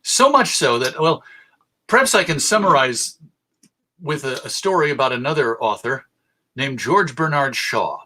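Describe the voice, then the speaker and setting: A man presenting a history lecture in a measured, deliberate way, pausing for emphasis. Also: medium pitch, animated, pushed and bright.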